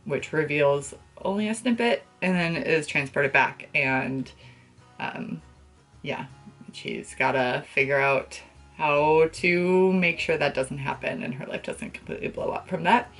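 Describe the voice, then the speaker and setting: A young woman chatting casually to the camera as a book vlogger, with an expressive, gushing and emphatic way of talking. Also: low-pitched, unhurried, faint music behind. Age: young adult. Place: small bedroom, indoors.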